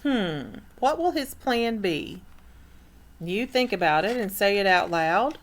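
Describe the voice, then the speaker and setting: A woman's voice: medium pitch, expressive, takes it slowly. A woman reading a picture book aloud as a teacher, stopping to explain and put questions to the listeners. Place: indoor room.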